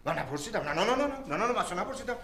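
A man's voice: high-pitched, very animated, a bit bright, heavily acted. An actor playing a nervous male patient who stammers denials and dodges questions. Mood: flustered. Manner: flustered, keeps stammering denials. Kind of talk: sitcom acting.

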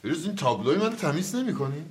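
A man's voice: fairly high pitch, sing-song, a little mellow. An actor playing a defensive, easily flustered male psychologist in a sitcom, given to indignant outbursts. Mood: chatty. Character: excitable, defensive male psychologist, quick to temper. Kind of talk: sitcom acting.

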